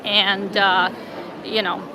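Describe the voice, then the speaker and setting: Chatty woman streaming from a phone outside, mid pitch, lots of "like" and "you know", traffic going by. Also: little pitch movement, slow pace, crowd noise. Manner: chatty, lots of fillers, laughs often. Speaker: travel vlogger reading live chat. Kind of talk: casual livestream chat while walking. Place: outdoors on a city street, light rain. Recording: clear street noise behind. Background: city traffic and passing vehicles.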